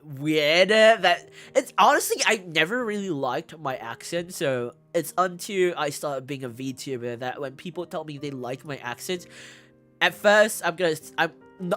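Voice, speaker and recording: A woman streamer with a mixed English accent chatting casually as she answers viewer questions, giggly and halting, often repeating herself. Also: sits low, fairly bright tone. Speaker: VTuber streamer host. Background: background music.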